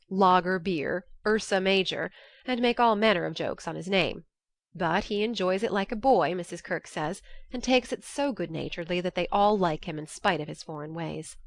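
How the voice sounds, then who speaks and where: A woman's voice: fairly low pitch, no echo. A woman reading a classic novel in the first person as a candid, wry young letter writer. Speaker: audiobook narrator.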